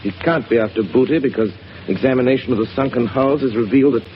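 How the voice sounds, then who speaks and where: A calm gentleman detective played by a man, measured and confident, with a medium voice. The audio is dull and old-fashioned, like a 1930s radio broadcast, with a faint hiss. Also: medium pitch, lightly acted. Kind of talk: 1930s radio drama acting.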